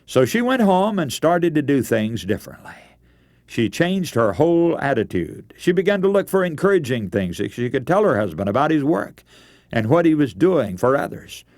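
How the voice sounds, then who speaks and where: A man's voice: a little high, very animated, normal speed, a little mellow, no echo. A man preaching a radio sermon, giving practical advice in an earnest, instructive way. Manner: earnest, instructive, addresses listeners as friends.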